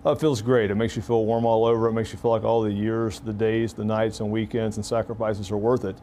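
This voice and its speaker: A male doctor explains the case in a steady, flat, lowish voice with a mellow tone. He pauses with fillers as he goes. Faint hospital room ambience is audible. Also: slight background noise. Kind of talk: interview explanation.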